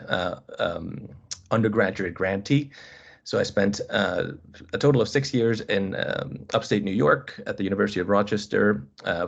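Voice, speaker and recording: A man's voice: on the low side, vocal fry. A man, giving a webinar presentation as a guest coach, hesitant and often restarting his phrases. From Finland. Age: 30s. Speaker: college squash coach, guest speaker. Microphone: video call. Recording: dull lo-fi video call audio.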